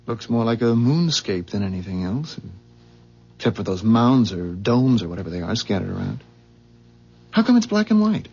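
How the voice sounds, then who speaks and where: An actor playing a puzzled, skeptical ordinary husband in a 1970s radio drama, questioning in a hesitant, stammering way. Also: male voice, lowish voice, sing-song, moderate pace, gravelly, darkish tone, breathy edge, full character voice. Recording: muffled 1970s radio broadcast.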